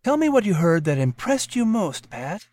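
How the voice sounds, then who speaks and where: A woman voicing a warm, playful colonial mother who asks her son gentle, teasing questions. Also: lowish voice, very animated, normal speed, no room sound, studio quality, pitched above the performer's own voice.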